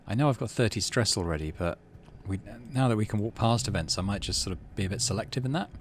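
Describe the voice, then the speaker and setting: A man with a fairly deep voice hosts a let's play in a casual, conversational style. His intonation is very lively, moving freely up and down as he comments. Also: fast, slight background noise. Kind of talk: casual game commentary and reading text aloud.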